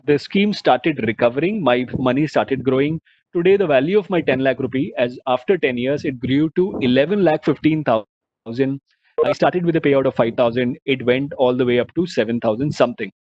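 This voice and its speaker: A man giving an earnest, explanatory webinar talk, often pausing on "you know" and "uh". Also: mid-range voice, no echo, muffled recording. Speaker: webinar presenter on mutual fund investing.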